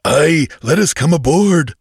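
An urgent corsair acted by a male narrator, weary and scared yet insistent. The voice is raised high and strained, clamoring in the manner of a dramatic storybook adventure. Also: lively intonation, a little slow, no echo, studio quality, heavily acted. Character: weary, frightened but insistent corsair pleading to board. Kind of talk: dramatic audiobook character voice.

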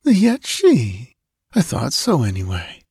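A man voicing a languid, dreamy, confused young woman in a soft, drowsy murmur for an audiobook story. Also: medium pitch, huge pitch swings, slightly rough, no echo, studio quality, pitched above the performer's own voice, exaggerated voice. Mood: sleepy, dreamy. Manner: drowsy, languid, drawn-out yawning delivery. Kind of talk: audiobook character acting.

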